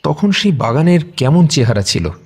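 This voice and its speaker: An actor playing a curious, pensive young amateur sleuth, musing in casual audio-drama dialogue with a husky voice. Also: male voice, fairly high pitch, big pitch swings, normal speed, a little mellow, heavily acted. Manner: musing, hesitant. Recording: music bed under voice.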